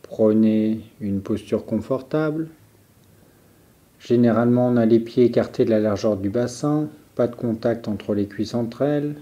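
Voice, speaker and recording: A man leading a guided yoga nidra relaxation as a teacher, giving calm, measured instructions with pauses. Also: mid-range voice, highs cut off.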